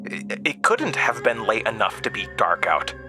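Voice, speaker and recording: A man narrating a first-person horror story in a deliberate, halting manner with dramatic pauses. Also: on the low side, very animated, music in the background.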